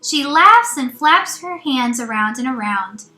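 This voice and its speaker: Female teacher for storybook read-aloud: high, animated, unhurried, child-directed, roomy with music underneath. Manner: expressive, child-directed, with encouraging asides. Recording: roomy echo, faint music.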